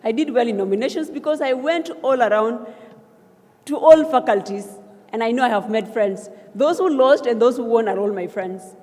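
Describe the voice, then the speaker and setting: A woman's voice: a little high, normal speed, darkish tone. Young woman giving an emphatic speech in a reverberant hall. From South Sudan. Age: young adult. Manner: earnest and emphatic, rising to calls of thanks. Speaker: newly elected student association leader. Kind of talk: acceptance speech at a swearing-in ceremony. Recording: long reverb of a large hall.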